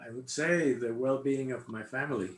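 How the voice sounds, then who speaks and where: An older man from Cuba answers casual interview questions about his life, often pausing to search for his words. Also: medium pitch. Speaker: interviewee, congregation member. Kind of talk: casual personal interview, recollecting. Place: indoor room, online video call. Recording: echoey room, faint background noise.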